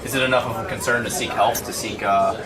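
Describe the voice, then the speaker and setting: A man conducts a casual interview at a brisk clip. His voice sits at an average male pitch. He puts probing questions conversationally, with clear crowd noise in the background. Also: steady tone.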